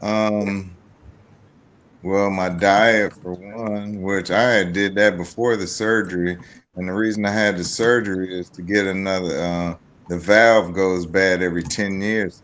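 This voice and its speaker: Very deep-voiced man in his late fifties. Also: fairly even intonation, unhurried, a bit bright. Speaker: podcast guest sharing personal experience. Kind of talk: casual personal conversation.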